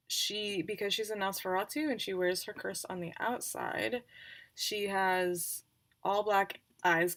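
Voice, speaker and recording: A woman's voice: on the low side, gravelly. A woman informally explaining things on a casual tabletop roleplaying livestream, thinking aloud as she goes. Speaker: tabletop roleplayer presenting characters.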